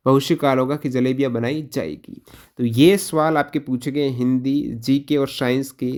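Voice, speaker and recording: Man, mid-range pitch, exam coach, instructional delivery, question-by-question teaching, repeats answers for emphasis.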